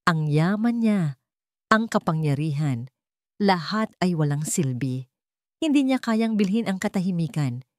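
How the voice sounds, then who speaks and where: Female narrator, lower register, emotive and earnest, lively intonation for scripted romantic drama. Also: unhurried, long pauses, no echo, clean studio sound. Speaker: storyteller. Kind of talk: dramatic scripted narration of a sentimental love story.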